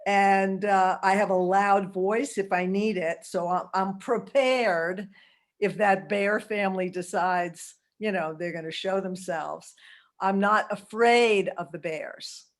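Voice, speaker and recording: A woman's voice: medium pitch, fairly even intonation, slow. A woman in her seventies giving a holistic health talk over a video call, chatty, laughing often and tossing in asides like "okay?". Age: 70s.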